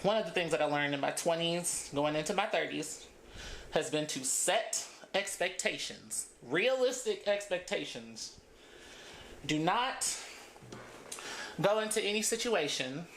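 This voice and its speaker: A woman's voice: fairly low pitch, a bit bright, roomy sound, a little hiss or hum, rough recording. A woman in her thirties chatting casually as she braids her hair for her vlog, laughing often between thoughts. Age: 30s. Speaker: beauty vlogger.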